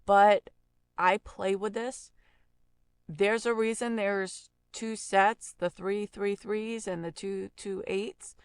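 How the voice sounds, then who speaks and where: A woman giving a casual audio software tutorial, explaining informally and thinking aloud as she works. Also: medium pitch, smooth, no room sound.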